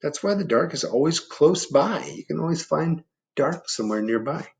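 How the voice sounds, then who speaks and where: A man voicing a calm, gentle spirit of darkness in a storybook, coaxing and reassuring. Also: fairly high pitch, moderate pace, creaky, voice pushed up. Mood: gently reassuring.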